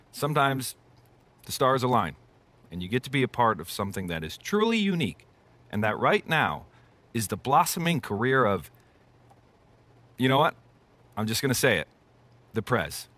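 An effusive American sportscaster, delivers emphatic video game commentary with dramatic pauses. The pitch swings widely and the sound is dry and close. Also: male voice, a bit bright, no echo.

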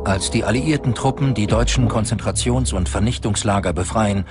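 A man reading scripted documentary narration in a measured, grave manner. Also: lowish voice, music in the background.

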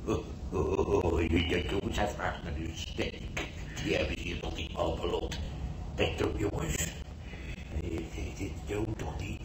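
An actor playing a haunted man dreaming of a camp, halting, secretive and anxious, in a Dutch radio play. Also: low voice. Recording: echoey, distant, very noisy radio recording.

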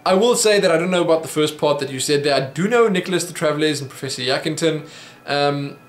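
A young South African man talks into a desk webcam, and his voice sits a little high for a man. He rambles through viewer questions in a loose, chatty way, with plenty of ums. The small room gives off a noticeable echo. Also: quick pace. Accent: South African English. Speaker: vlogger answering viewer questions. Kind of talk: casual Q&A monologue. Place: small home office room.

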